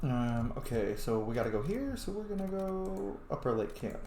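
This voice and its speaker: Animated male gamer in an echoey room. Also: mid-range voice, moderate pace. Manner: sweary, chatty, thinking out loud. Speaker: video game streamer. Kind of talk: casual gameplay commentary. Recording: echoey room, faint background noise.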